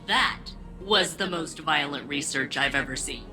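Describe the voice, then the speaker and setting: Lowish-voiced, practical researcher. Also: female voice, measured pace, over music. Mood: amazed, businesslike. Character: keen, practical female field researcher. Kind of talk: conversational game dialogue.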